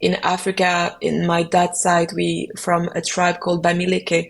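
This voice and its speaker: A young adult woman, a French native speaker, answering podcast questions reflectively and pausing often to find her words. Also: fairly low pitch, completely flat delivery, vocal fry. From Belgium. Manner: reflective, searching for words with frequent ums. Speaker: musician guest.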